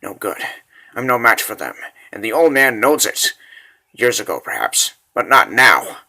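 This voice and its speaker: Brooding older warrior, acted by a man, lowered voice, weary, self-doubting mutter, comic dub. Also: sing-song, a little slow, creaky, pushed and bright, exaggerated voice.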